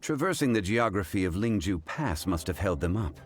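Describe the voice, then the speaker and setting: An actor voicing a composed, dignified gentleman in a video game, speaking in formal, measured phrases. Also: sits low, big pitch swings. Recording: game audio with music underneath.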